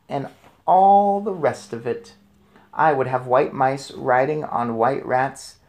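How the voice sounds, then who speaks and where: A man's voice: medium pitch, gravelly. A man reading aloud and chatting enthusiastically as host of a children's read-aloud. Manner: chatty and enthusiastic, putting questions to young listeners. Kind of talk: storybook read-aloud with casual commentary.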